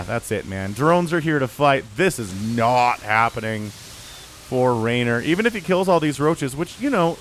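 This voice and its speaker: A man giving solo play-by-play commentary on a strategy video game, casual and excitable, his voice still a little rough from recovering. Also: on the high side. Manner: casual, excitable, rises to yelling at big fights. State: voice still recovering, cracking at times. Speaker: StarCraft caster.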